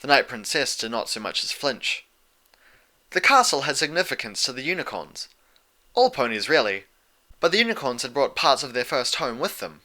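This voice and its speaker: A man's voice: mid-range voice, very animated, moderate pace, pushed and bright. A man reading fan fiction aloud as scripted story narration.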